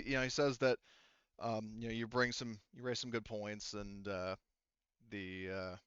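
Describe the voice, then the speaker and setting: Male game master, smooth dry voice, explains things casually and haltingly at length. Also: on the low side, a bit bright, no echo, dull audio. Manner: halting, explains in rambling detail. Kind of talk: casual tabletop game narration.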